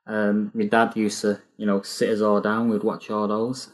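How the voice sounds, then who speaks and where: A man hosting a casual interview podcast, speaking in a halting, hesitant way. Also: on the low side, monotone.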